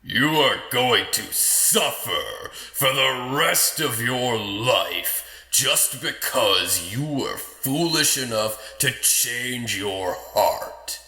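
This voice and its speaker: A man's voice: mid-range voice, animated, pushed and bright, reverberant, exaggerated voice. A man as a sly, malicious dream-haunting lord. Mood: cold, accusing. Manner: theatrical, sly, menacing, drawn-out phrasing. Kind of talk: dramatic audio drama acting.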